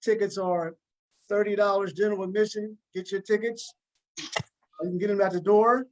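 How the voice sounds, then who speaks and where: A man's voice: high voice, highs cut off. A man hosting a casual promotional interview, encouraging his guests and often repeating himself. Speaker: host, interviewing cast members of a stage play.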